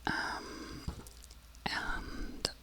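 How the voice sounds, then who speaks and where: Casting: ASMR book reviewer, soft breathy whisper throughout, unhurried and hesitant, feeling for words. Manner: halting, searching for words in a non-native language.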